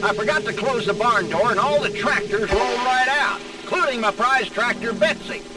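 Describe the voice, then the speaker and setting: High-pitched folksy old farmer. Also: male voice, moderate pace. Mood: grateful, chatty. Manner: folksy, exclamatory. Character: folksy, excitable old farmer fretting over his tractors. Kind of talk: animated video game acting. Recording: distant voice under loud game music. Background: loud music, vehicle sounds.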